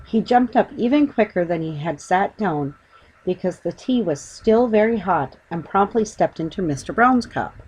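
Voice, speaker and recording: A woman's voice: medium pitch, lively intonation, moderate pace, a little mellow, breathy edge, poor audio. A woman reading a children's story as an audiobook narrator.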